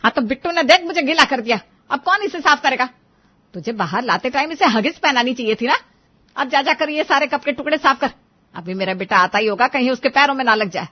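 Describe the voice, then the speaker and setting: A woman's voice: sits high, lively intonation, brisk, highs cut off. A woman voicing a bossy, doting middle-aged grandmother with a commanding, exclamatory manner in a family story. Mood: scolding, flustered. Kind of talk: Hindi family moral story dramatization.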